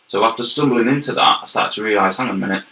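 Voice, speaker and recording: Brief: young Yorkshire man, interview guest, earnest and explanatory, gravelly tone, roomy echo. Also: on the low side, very fast, bright, forceful tone. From York, England. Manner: earnest, explanatory, repeats words as he goes. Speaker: guest, health and wellbeing manager. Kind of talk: casual interview chat. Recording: roomy echoey recording.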